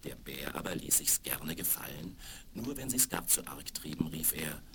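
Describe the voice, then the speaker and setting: A man's voice: very deep voice, very animated, normal speed, gravelly. A man narrating a scripted fairy tale for children as storyteller, on a crackly 1970s vinyl record.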